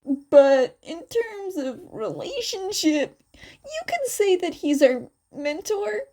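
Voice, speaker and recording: A woman's voice: very high voice, very animated, takes it slowly, dark tone, voice pushed up, exaggerated voice. A woman voicing an easygoing, matter-of-fact teenage boy who explains things casually, in a fan fiction story reading. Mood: explaining.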